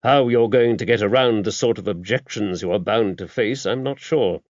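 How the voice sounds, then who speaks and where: A mild, patient clergyman played by a male audiobook reader explains things in a measured way. His voice sits fairly low. The sound is very dry and slightly muffled. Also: no echo, lightly acted. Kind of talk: audiobook character dialogue.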